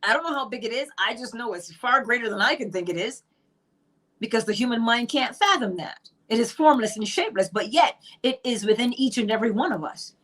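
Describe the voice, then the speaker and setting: A woman's voice: on the high side, lively intonation, brisk, a bit bright. A middle-aged woman from Barbados with a Barbadian accent, teaching about spiritual law in an emphatic monologue full of rhetorical questions. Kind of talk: monologue on manifestation.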